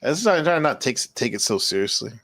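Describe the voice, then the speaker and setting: A man's voice: mid-range voice, sing-song, fairly quick, a bit bright, no echo. A man chatting casually on a live stream, laid-back and rambling, often saying bro and man. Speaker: streamer, teacher. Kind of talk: casual chat.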